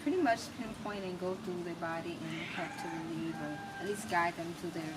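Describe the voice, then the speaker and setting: A woman's voice: fairly low pitch, fairly quick. An American woman with a small voice, chatting casually as an interview guest. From United States. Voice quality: small, soft-projecting voice. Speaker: interview guest, natural healer and spoken word artist. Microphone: camera mic at a distance, no wireless mic. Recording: distant mic, noisy ambient background.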